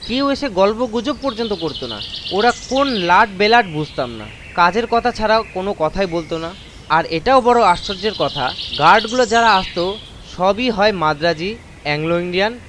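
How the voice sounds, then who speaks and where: A man with a high voice narrates a first-person horror tale as a dramatised reading. Clear outdoor ambience with birds runs behind his words. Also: noisy background. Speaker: story narrator. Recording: constant nature ambience effects behind voice.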